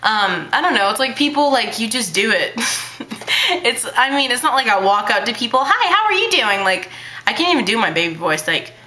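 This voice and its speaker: Bubbly teen girl with high baby voice. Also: very animated, brisk, bright, forceful tone. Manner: bubbly, hyper, chatty with many fillers. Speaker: vlogger. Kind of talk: casual chat to camera. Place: small bedroom indoors. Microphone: webcam at arm's length.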